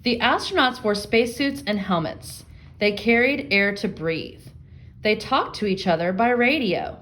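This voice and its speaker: A woman's voice: medium pitch, expressive, measured pace, fairly bright tone. Woman teaching young children through a read-aloud. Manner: addresses young children directly, thinking aloud with guiding questions. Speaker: elementary school reading teacher.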